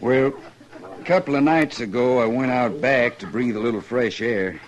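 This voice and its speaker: A man's voice: medium pitch, moderate pace, a crowd in the background, close to an everyday voice. An American man acting a sullen, slippery frontier gambler in an old-time radio western, guarded and folksy. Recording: dull vintage radio, crowded saloon behind.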